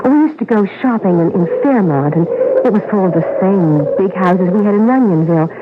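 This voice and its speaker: An actress playing an uneasy, polite wife in a radio drama, with a hesitant, earnest manner. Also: female voice, mid-range voice, brisk, close to an everyday voice. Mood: nostalgic. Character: uneasy, polite wife stranded while travelling. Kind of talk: 1980 radio drama acting. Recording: noisy, muffled old radio broadcast.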